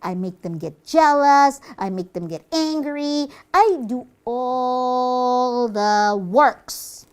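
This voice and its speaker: A woman's voice: sits high, slow pace, hardly any pauses. A woman voicing a boastful, mischievous devil minion in a children's puppet show, gloating with dramatic exclamations.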